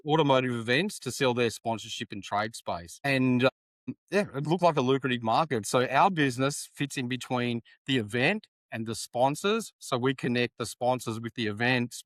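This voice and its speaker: A man's voice: medium pitch, dry recording. A man in his fifties from Australia with a broad Australian accent, talking as a guest in an upbeat, plainspoken way with local slang. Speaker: guest, sponsorship sales business owner. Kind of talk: casual interview talk.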